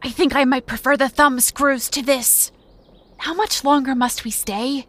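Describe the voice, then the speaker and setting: Rebellious Puritan maid, deadpan, impatient, crisp bright tone, slightly high, comedic audio drama. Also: female voice, unhurried. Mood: dry, fed up. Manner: deadpan, sardonic. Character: dry, impatient young Puritan woman, quietly rebellious.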